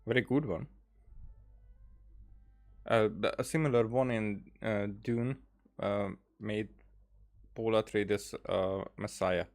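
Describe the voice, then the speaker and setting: Very deep-voiced woman commenting on a game. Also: takes it slowly, long pauses, darkish tone. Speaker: game streamer. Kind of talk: casual reaction commentary over gameplay.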